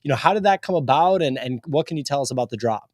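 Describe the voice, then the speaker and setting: A man's voice: mid-range voice, animated, fast talker, darkish tone, no room sound, studio quality. An American man co-hosting a podcast, asking questions in casual, conversational interview chat. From United States. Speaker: podcast co-host.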